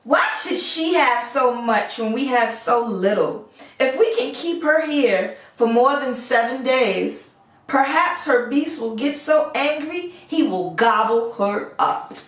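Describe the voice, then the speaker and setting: A woman's voice: a little high, lively intonation, slow pace, a bit bright, voice pushed up. A woman voicing an envious young storybook sister who is plotting resentfully. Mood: jealous, plotting. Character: scheming envious sisters, young women, spiteful. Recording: echoey room, distant mic.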